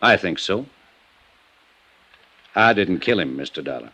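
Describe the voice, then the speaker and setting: An actor playing a defensive, indignant small-town American county attorney in Golden Age radio drama style, testy and guarded. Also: male voice, sits low, expressive, unhurried, sharp tone, slightly rough, exaggerated voice.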